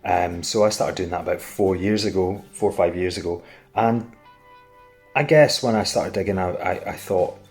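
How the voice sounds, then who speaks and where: A man's voice: deep voice, normal speed, a little mellow. A man in his forties speaking candidly and conversationally in a reflective interview. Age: 40s. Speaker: voice actor in an audio drama. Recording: guitar music behind the voice. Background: guitar music.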